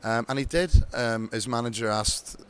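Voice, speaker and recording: A man with an Irish accent chatting casually in an interview, relaxed and rambling with many ums and restarts. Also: medium pitch, normal speed, clear, resonant tone. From Ireland. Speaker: bingo show host, guest.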